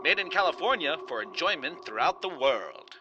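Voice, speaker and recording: Animated high-pitched male radio announcer. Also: moderate pace, lo-fi sound, background noise. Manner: theatrical, formal. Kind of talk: old-time radio sponsor announcing. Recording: announcement over music. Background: prominent music.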